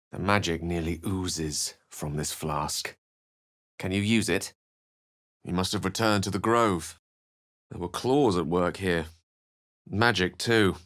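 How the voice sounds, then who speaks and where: A curious, scholarly wizard with a deep, low voice. He comments wryly on traps, corpses and magic as he explores, articulate and understated. Game voice-line delivery. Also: fairly bright tone. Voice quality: dry wit, wry and articulate. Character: witty, curious male wizard adventurer, scholarly. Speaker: companion wizard character. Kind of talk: video game voice lines.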